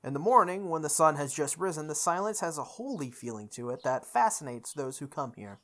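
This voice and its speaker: A woman playing through a visual novel, reading its text aloud with expressive, goofy casual commentary, sometimes correcting her stumbles. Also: low-pitched, fairly quick. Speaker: host of a visual novel playthrough.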